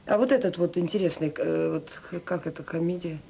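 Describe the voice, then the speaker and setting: Middle aged mom in a radio play, homely n a bit bossy, natural delivery, slight noise. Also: female voice, fairly low pitch, brisk, gravelly, mellow. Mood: evasive, brisk. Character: devoted, gently bossy middle-aged mother of a grown son. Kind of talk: naturalistic Soviet radio drama acting.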